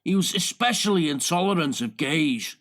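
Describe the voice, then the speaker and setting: Man putting on a blunt, high-pitched male witness. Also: lively intonation, normal speed, a bit bright. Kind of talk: quoted recollection in a nonfiction audiobook.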